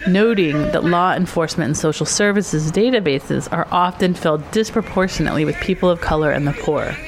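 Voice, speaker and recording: A woman reporter reading a scripted news report in a measured, even way. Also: low-pitched.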